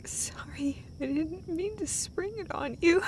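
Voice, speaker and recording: A woman performing an intimate comfort roleplay as an affectionate girlfriend, speaking tenderly and emotionally in a halting way that often drops toward a breathy whisper. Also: very high voice, slight background noise. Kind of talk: intimate comfort roleplay, acted as one side of a conversation.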